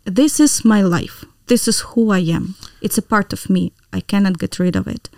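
Gravelly-voiced Ukrainian woman, earnest. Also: medium pitch, moderate pace, mellow, dry recording, clean studio sound. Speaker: guest. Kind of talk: interview conversation.

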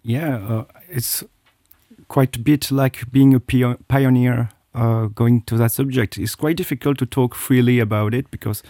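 A man's voice: medium pitch, steady tone, measured pace, dark tone, dry recording, clean studio sound. A middle-aged man, a guest telling his personal story with many hesitations and restarts. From South Korea. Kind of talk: interview, personal account.

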